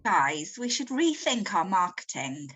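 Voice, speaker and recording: A woman's voice: lowish voice, big pitch swings, measured pace, fairly bright tone, slightly rough, exaggerated voice. A woman playing a keen young marketing intern in an amateur comic story. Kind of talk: amateur comic audio drama.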